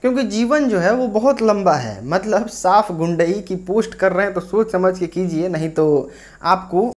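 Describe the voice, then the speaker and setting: A woman's voice: mid-range voice, expressive, moderate pace, noisy background. A woman presenting a local news report, narrating and speaking directly to viewers. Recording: faint music bed behind voice.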